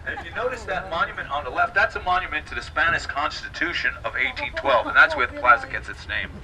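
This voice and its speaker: A hoarse man with a raspy voice, chatting and joking with riders as he gives a guided trolley tour. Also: a little high, fast, crowd noise. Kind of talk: live guided tour commentary over a PA. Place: moving trolley on city streets. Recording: distant voice over loud vehicle noise. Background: trolley engine and street noise.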